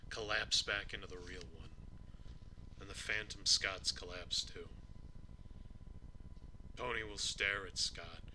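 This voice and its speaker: Bedtime-story grandpa, acted by a man: low-pitched, very slow, gentle and halting, crisp bright edge, faint fan hum behind. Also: exaggerated voice, low-quality recording. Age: older. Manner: halting, unhurried, stumbles and pauses to explain words. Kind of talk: bedtime story reading, audio roleplay. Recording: steady background hum of ceiling fan. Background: ceiling fan noise.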